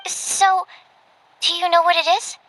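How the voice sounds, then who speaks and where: A woman's voice: very high voice, flat delivery, unhurried, pushed and bright, heavily acted, rough recording. A woman playing an earnest, bookish young female alchemist in an anime-style video game, polite and easily flustered. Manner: earnest, formal, hesitant when flustered. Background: music.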